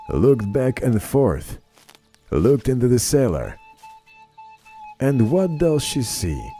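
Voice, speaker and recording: A man narrating a children's fairy tale in an expressive, scripted storytelling style. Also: medium pitch.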